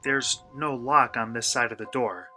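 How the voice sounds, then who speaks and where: A man's voice: mid-range voice, unhurried, bright, forceful tone, soft background music. An actor playing a brash, uneasy young male vlogger in a radio play, talking in a slangy, sarcastic, theatrical way. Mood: dismayed. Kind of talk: radio play acting, first-person monologue.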